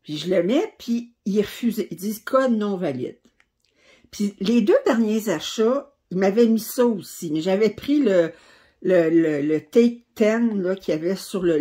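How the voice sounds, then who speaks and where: Husky Québécois woman, low voice. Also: moderate pace, warm tone. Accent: Québécois French. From Quebec, Canada. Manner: chatty, rambling, with many fillers and restarts. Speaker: craft channel host. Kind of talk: casual chat to camera.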